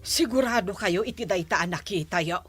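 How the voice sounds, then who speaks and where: A woman's voice: medium pitch, lively intonation, normal speed, music in the background, natural voice. A woman with a Filipino accent acting a sensible, doubting village official in an Ilocano comedy drama. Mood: cautious.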